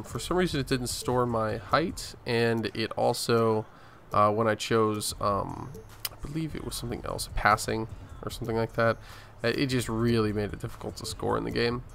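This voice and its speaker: A man's voice: mid-range voice, fairly even intonation, normal speed, vocal fry, a bit bright, a little hiss or hum. An American man from Arizona hosting a gaming video with casual gameplay commentary. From Arizona, United States. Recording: voice-over with faint game music.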